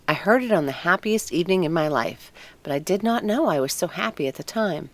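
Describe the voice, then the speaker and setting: A woman's voice: on the low side, expressive, brisk. A woman voicing an anxious, wistful young fir tree in a storybook, earnest and musing. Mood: nostalgic. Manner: musing, earnest, self-absorbed. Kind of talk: storybook character voice.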